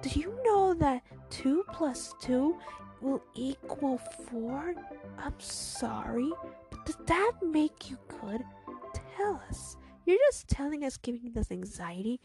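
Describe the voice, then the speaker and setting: Woman putting on a creepy, slow-talking psycho schoolgirl. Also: high-pitched, animated, music in the background, full character voice. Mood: menacing. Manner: slow, taunting, eerie, partly whispered. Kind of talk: acted storytelling.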